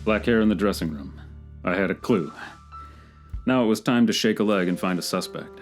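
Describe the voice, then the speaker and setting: Man playing low-voiced wisecracking hardboiled private eye. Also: fairly quick, over music, close to an everyday voice. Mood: wry, narrating. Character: wisecracking hardboiled private detective, self-assured, a little silly. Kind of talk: old-time detective radio serial with narration.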